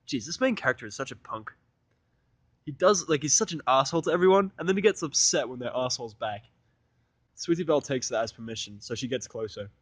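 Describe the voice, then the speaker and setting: A man's voice: mid-range voice, animated, fast, no room sound. A man narrating fan fiction in the first person, with irreverent, sweary casual asides.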